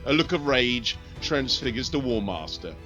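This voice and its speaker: A male narrator reads a dark sci-fi war tale in a grave, measured way. His voice is bright and clear. Faint music plays behind him. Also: medium pitch, big pitch swings.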